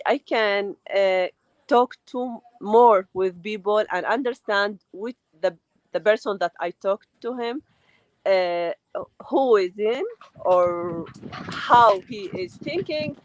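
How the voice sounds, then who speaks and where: Woman, loud voice, keeps pausing and restarting, dry room. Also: medium pitch, animated, no room sound. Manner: hesitant, many fillers and restarts. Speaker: guest. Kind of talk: casual chat.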